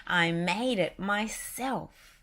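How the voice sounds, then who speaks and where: A woman's voice: mid-range voice, drawn-out words, fairly bright tone, voice pushed up. A woman voicing a cheerful, enthusiastic male cartoon dog in a children's storybook. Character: cheerful, eager male cartoon dog running a pie shop.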